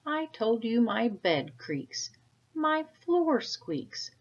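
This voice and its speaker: A woman's voice: on the high side, sing-song, very slow, voice pushed up, exaggerated voice. A woman voicing a fed-up, emphatic man who complains about his noisy house in a children's storybook. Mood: exasperated, angry. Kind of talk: children's storybook read-aloud. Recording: dull, lo-fi sound.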